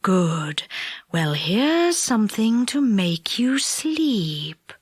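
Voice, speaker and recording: Woman doing drowsy low sandman, super slow, dry mic. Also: very animated, husky, dry recording, full character voice. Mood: calm. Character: tall calm sandman spirit bringing sleep.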